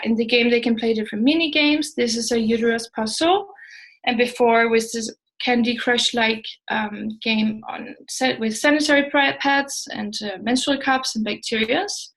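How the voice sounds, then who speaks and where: A woman with a Danish accent, a native Danish speaker, presenting informally as a startup co-founder, pausing hesitantly mid-sentence. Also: on the high side, fairly bright tone, poor audio. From Denmark.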